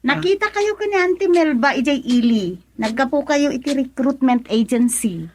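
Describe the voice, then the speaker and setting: Very high-voiced Ilocano daughter. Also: female voice, animated, normal speed, darkish tone, a little hiss or hum. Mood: questioning. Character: young unmarried daughter, questioning her mother. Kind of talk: radio drama acting.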